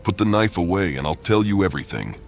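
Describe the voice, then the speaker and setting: A grim fantasy game warrior with a deep voice. He is terse, dry and unfazed, with faint music behind him. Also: big pitch swings, fast talker, creaky, heavily acted. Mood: cold. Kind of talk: video game character acting.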